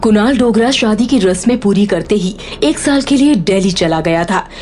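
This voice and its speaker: A woman's voice: medium pitch, expressive, moderate pace, a little mellow, soft background music. A woman giving scripted, dramatic narration of an audio story as its narrator.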